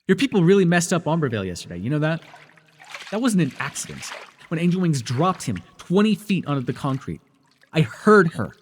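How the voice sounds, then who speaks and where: A man's voice: fairly high pitch, big pitch swings, fairly quick, a little hiss or hum. A man playing a sardonic telepath villain who is a reluctant, protective mentor in a superhero audio roleplay, intense and emotive. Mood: stern, angry. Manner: intense, emotive, swinging between biting and tender.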